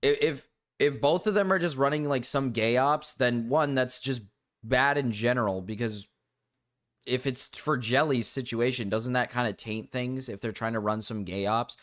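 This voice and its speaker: A man's voice: mid-range voice, normal speed, a bit bright. Irritated young man ranting to chat. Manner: rambling, frequent restarts and fillers, irritated. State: voice going low and cracking. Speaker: streamer. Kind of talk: casual livestream rant to chat.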